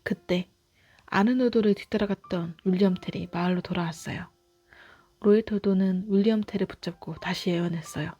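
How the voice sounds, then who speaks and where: A woman's voice: medium pitch, fairly quick, mellow, slightly rough. A woman reading a scripted fairy tale as a bedtime storybook narrator. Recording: music behind the voice. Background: background music.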